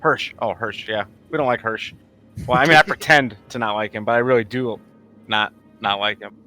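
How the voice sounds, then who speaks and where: A man with a bright, sharp voice at medium pitch chats as a tabletop player. He is slangy and jokey, with faint music behind. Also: steady tone, moderate pace. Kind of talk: casual joking chat.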